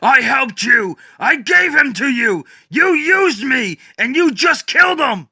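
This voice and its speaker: An enraged masked vigilante, as a man acts him out, shouts in a high, strained voice. The sound is bright and close-miked. He yells loudly. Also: lively intonation, slow, vocal fry, husky, dry recording, full character voice. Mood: angry.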